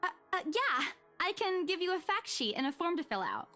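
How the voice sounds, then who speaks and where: A woman's voice: very high-pitched, big pitch swings, fairly quick, bright, forceful tone, soft background music, full character voice. An actress playing a polite, easily flustered young female estate agent in a visual novel, hesitant and deferential.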